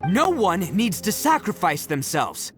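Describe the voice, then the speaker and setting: A cocky young brawler hero from a video game, with a very animated voice. He is informal and exclamatory, brash but good-hearted, with music playing behind him. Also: high voice, a little slow, exaggerated voice. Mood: concerned, coaxing.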